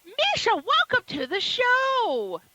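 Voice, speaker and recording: A woman's voice: very high-pitched, wildly swooping pitch, slow pace, bright, forceful tone, no echo, highs cut off. A woman hosting a radio interview show, gushing with praise and chatting casually with her guest.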